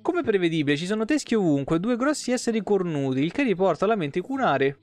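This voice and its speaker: A man's voice: high voice, quick pace. A man, reading game lore aloud expressively with casual asides as a streamer. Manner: expressive reading, breaking off for informal comments. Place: small indoor room, streaming setup. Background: plucked guitar music.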